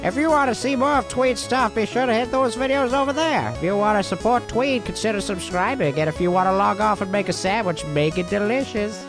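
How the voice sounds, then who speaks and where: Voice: medium pitch, brisk, few pauses, music in the background. A channel host reading an upbeat, playful scripted outro.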